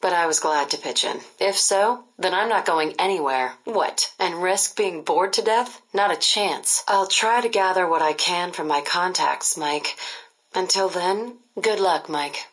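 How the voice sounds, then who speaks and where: A woman's voice: fairly low pitch, unhurried, a bit bright, husky. An actress playing a wry, flirtatious, cool-headed female journalist in a video game, speaking in a teasing, dry, understated way. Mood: wry, supportive. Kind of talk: video game dialogue, conversational.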